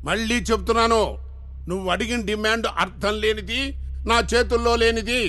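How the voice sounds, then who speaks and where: An actor playing a kidnapped chief minister in a film drama, blustering, scolding and pleading in exasperation. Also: male voice, very high-pitched, fairly even intonation, a little fast, bright, forceful tone. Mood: exasperated. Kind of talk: Indian film drama dialogue. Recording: film soundtrack with faint music.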